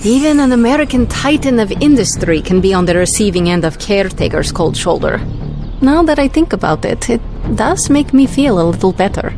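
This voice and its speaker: A woman's voice: mid-range voice, big pitch swings, a little fast, over music. A woman playing a teasing, mischievous young sorceress, sly and confident, in a video game. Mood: wry. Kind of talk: video game cutscene acting.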